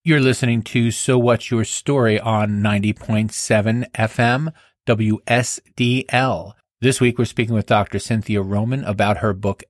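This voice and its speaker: Male radio host, low, dry studio sound, easy announcer delivery. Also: dry recording. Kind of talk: public radio interview and announcements.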